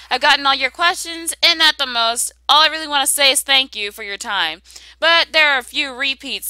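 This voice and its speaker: A woman's voice: high-pitched, sharp tone, no room sound, rough recording. A woman hosting a casual question-and-answer voice-over, with a blunt, sassy manner that flips between sweet and glum. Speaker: YouTuber host answering viewer questions.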